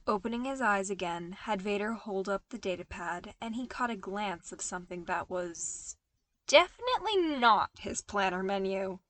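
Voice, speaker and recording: Woman narrating a story, medium pitch. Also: expressive, normal speed, a bit bright, no room sound. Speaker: podfic narrator. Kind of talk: expressive scripted story narration.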